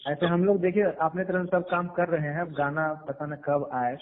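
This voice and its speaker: A man with a fairly high voice speaks over a narrow, tinny phone line. His Hindi has a Bihari accent, and he explains his side conversationally, often repeating phrases for emphasis. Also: fairly even intonation, moderate pace. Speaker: music label owner.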